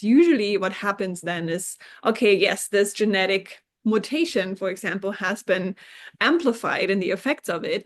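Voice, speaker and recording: A woman from Germany talks through research as a podcast guest. She has a middling voice and explains carefully, circling back and qualifying her points as she goes. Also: medium pitch. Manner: thoughtful and explanatory, with hedges and restarts. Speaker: scientist guest.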